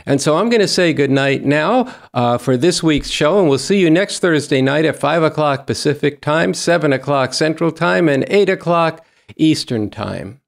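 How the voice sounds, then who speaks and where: An older man hosts from a small studio, speaking directly to his audience. His voice sits slightly high, and he talks into a close desk microphone as he wraps up the show. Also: animated, normal speed, creaky. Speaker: show host. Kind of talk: show sign-off to camera.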